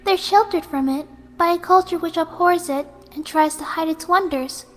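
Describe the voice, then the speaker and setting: A woman voicing a gentle, soft-spoken young female pegasus pony who is serenely deranged, in a horror fan fiction reading. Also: very high-pitched, pitched above the performer's own voice. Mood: serene rapture. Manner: gentle, sweet, unhurried while menacing. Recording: music behind the voice.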